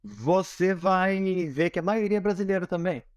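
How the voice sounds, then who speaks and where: A man's voice: high voice, lively intonation, moderate pace, no room sound, muffled recording. A man from Brazil with a Brazilian accent answers as an interview guest, explaining things conversationally and often checking in with small tag questions. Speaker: interview guest, product design manager.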